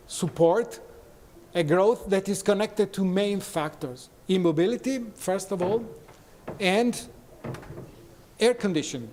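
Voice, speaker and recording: Italian man, higher voice, conference presenter, halting delivery with fillers, long hall reverb. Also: warm tone. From Italy. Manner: non-native phrasing, frequent pauses and fillers. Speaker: conference speaker.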